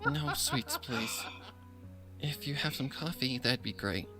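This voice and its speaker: A man's voice: medium pitch, takes it slowly, music in the background, natural voice. A man voicing a downcast, sleepless cartoon pony mare, pleading and dramatic, with a quivering delivery. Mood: weary, subdued.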